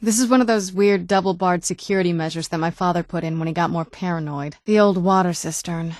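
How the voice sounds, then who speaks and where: A woman voicing a guarded, wounded young vampire noblewoman in a video game, with dry understatement and hesitant pauses. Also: lowish voice, a little mellow, dry recording, lightly acted. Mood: curious, matter-of-fact. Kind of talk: video game character dialogue. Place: dry studio recording.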